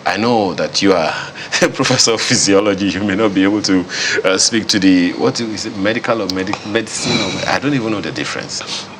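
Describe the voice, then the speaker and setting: A man's voice: on the low side, fairly quick, few pauses, noisy background. A man hosting a TV studio discussion, talking casually and searching for words with fillers. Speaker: TV talk show host.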